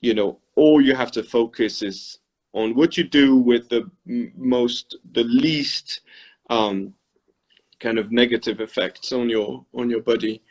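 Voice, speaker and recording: A man's voice: mid-range voice, unhurried, a little mellow, poor audio. A middle-aged man hosting a casual interview, speaking thoughtfully and rephrasing as he goes. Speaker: interviewer, host.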